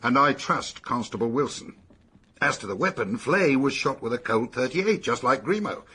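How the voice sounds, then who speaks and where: Husky, animated British police detective. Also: male voice, medium pitch, full character voice. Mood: matter-of-fact, intent. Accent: British English. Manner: brisk, matter-of-fact, expository. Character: brisk, practical male British police detective. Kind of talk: British radio detective drama acting.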